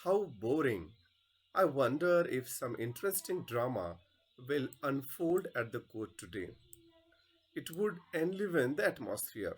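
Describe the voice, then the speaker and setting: A man's voice: medium pitch, sing-song, measured pace, slightly rough. A man reading the part of a bored, officious palace guard in a stage play, in a declamatory way.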